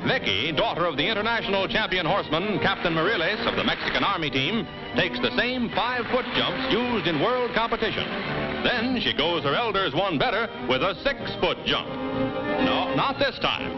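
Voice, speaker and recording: High-pitched, animated male newsreel sports announcer. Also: normal speed. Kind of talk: 1950s newsreel sports commentary. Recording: dull vintage newsreel film soundtrack. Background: loud music.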